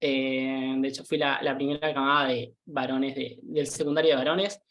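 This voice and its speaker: A young man in his twenties with a Rioplatense Spanish accent, chatting as a podcast guest. He talks in a loose, hesitant way, full of fillers and restarts, at a mid pitch. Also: level tone, normal speed, fairly bright tone. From Argentina. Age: 20s. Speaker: guest, tour guide. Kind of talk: casual interview chat.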